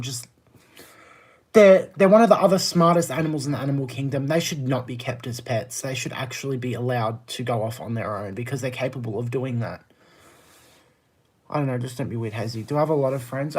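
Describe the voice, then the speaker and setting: A man's voice: medium pitch, husky. A man with an Australian accent chatting casually on a livestream, answering viewer comments in a blunt, exasperated, emphatic way. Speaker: live streamer.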